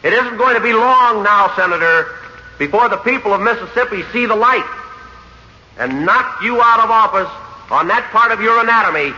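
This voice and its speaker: Forceful, sardonic orator. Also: male voice, high voice, expressive, normal speed, heavily acted. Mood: indignant. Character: impassioned, sardonic male political orator. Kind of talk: 1940s radio acting. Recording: dull, hissy old radio recording.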